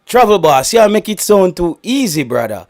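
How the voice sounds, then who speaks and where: High-voiced man hosting casual banter. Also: big pitch swings, normal speed. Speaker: host. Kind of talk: casual banter, football chat.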